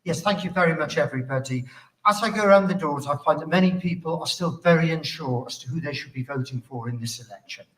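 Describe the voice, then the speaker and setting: Distant echoey recording, man, fairly high pitch, political candidate, measured formal speech. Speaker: political candidate, local councillor. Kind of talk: formal hustings closing speech. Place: hustings venue, indoors.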